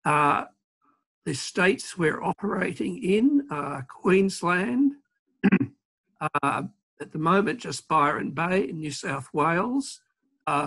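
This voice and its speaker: Slow husky man in his sixties. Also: on the high side, a bit bright. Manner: measured, many "uh" pauses. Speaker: environmental campaigner, panelist. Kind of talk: panel discussion answer. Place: home office, indoors. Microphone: video call webcam.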